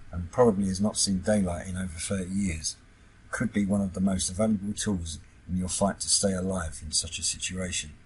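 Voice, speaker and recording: Deep, husky man narrating. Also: poor audio. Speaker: YouTube host, CB radio hobbyist. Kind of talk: scripted explainer narration.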